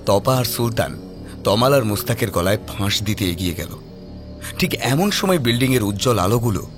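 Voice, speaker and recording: A man reading scripted narration for a dramatized horror audio story. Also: lowish voice.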